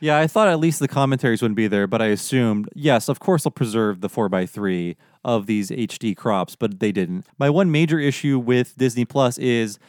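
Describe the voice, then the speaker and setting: A man's voice: medium pitch, moderate pace, few pauses, darkish tone, no echo, clean studio sound. A man hosting a podcast, giving opinionated, articulate explanations in a casual conversational way. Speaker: podcast host.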